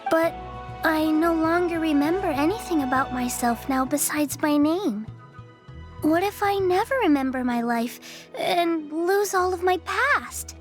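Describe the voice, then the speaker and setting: An actress playing a timid, vulnerable young girl who speaks haltingly in a video game story. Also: very high voice, lively intonation. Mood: lost, hesitant. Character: timid, unsure young girl who lost her memory. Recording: music under the voice.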